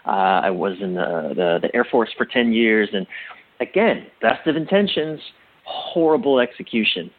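A middle-aged man speaking reflectively as an interview guest, with a husky voice, often halting and correcting himself with emphasis. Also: on the low side, lively intonation, phone-quality sound. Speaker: interview guest, relationship coach.

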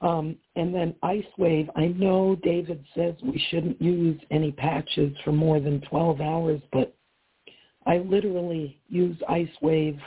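A hoarse woman caller speaks slowly and hesitantly, her voice raspy and gravelly. She is heard over a thin, tinny phone line. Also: low voice. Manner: slow, hesitant, many ums.

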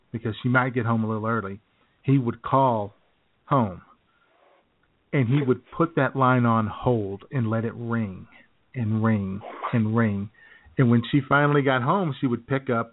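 A man co-hosting a podcast, telling stories in a laid-back, laughing chat. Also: medium pitch. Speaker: podcast co-host. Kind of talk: casual chat. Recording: tinny phone-like lo-fi audio.

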